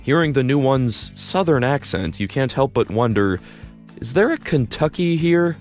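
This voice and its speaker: A man narrates a fan audio drama in the second person, casual and wry, slipping in dry asides. His voice sits at a medium pitch, with soft music playing faintly underneath. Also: lively intonation, normal speed.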